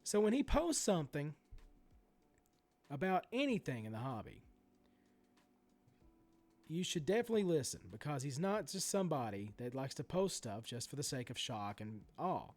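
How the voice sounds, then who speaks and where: A man's voice: fairly high pitch, very animated, moderate pace, pauses often, a little mellow. A middle-aged man with a sore throat and stuffy nose, ranting indignantly as host of a sports card channel. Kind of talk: ranting commentary. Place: home room.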